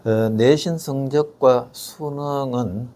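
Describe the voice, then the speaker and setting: An older man speaking sits as the expert guest and lays out his points in a steady, measured way. He pauses on soft fillers and often turns his explanations into rhetorical questions. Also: mid-range voice. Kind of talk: explanatory interview talk.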